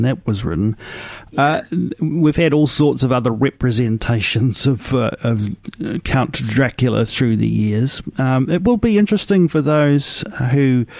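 A man hosting a radio interview in a measured, slightly halting way. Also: medium pitch, darkish tone, dry recording. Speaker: radio show host. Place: radio studio. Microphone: close studio microphone.

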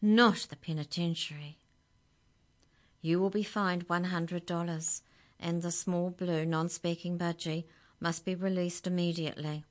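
A woman's voice: steady tone, natural voice. Woman playing a solemn, formal storybook judge. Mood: severe. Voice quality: deep. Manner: grave, formal, measured. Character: solemn, stern judge, a talking wisdom tooth.

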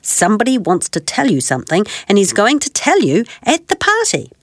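A kindly toy friend acted by a woman speaks earnestly and soothingly in storybook style. The intonation is animated and the sound is dry and close. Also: mid-range voice, brisk, no room sound. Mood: eager. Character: kindly toy friend, Rags, organising and reassuring. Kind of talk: children's storybook character reading.

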